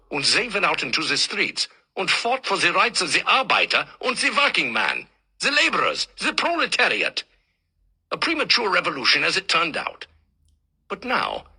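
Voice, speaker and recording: A man's voice: a little high, bright, forceful tone, slightly rough, full character voice, rough recording. A man voicing an imperious, ancient German professor with a thick put-on German accent, barking and pompous.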